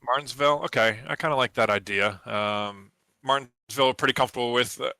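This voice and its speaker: A man's voice: mid-range voice, brisk, creaky, sharp tone, no room sound. A man from New England, the race winner, talking confidently in a post-race interview with plenty of fillers. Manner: confident, competitive, lots of fillers.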